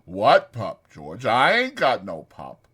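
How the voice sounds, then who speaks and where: A man's voice: fairly low pitch, wildly swooping pitch, takes it slowly, pushed and bright, husky, exaggerated voice. A man voicing a simple, childlike big strong man in a dramatized audiobook, breathless and pleading. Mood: feigned innocence. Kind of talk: dramatized audiobook character acting.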